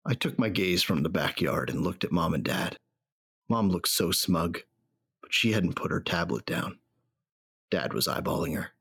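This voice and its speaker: A man acting a grieving, bitter bounty hunter who narrates his memories in a reflective, rough-spoken way for an audio drama. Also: low-pitched, animated, moderate pace, a bit bright, dry recording, studio quality, heavily acted. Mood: wistful.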